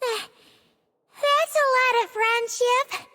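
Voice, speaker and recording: A woman voicing a small, cheeky, suspicious fairy guide in a video game, with a squeaky childlike voice. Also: drawn-out words, pushed and bright, big-room sound, full character voice. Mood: coaxing.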